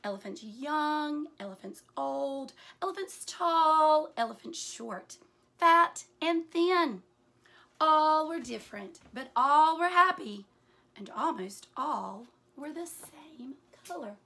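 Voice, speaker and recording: A woman with a high, bright voice reads a picture book aloud to children. She takes her time over the phrases and tells the story with plenty of expression, like a teacher. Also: creaky. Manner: deliberate, animated storytelling with hushed asides. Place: small furnished room indoors.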